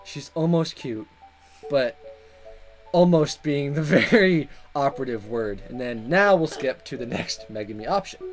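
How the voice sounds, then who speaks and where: Animated man doing playful let's play commentary. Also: medium pitch, unhurried, faint music behind. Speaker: gaming video host. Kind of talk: casual let's play commentary and read-aloud narration.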